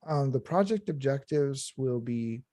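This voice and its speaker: A man with a very dark, mellow voice talks in a measured, reflective way. The sound is dry and close, with no room around it. He speaks as a historian on a discussion panel. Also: medium pitch, expressive, no room sound. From Southern California.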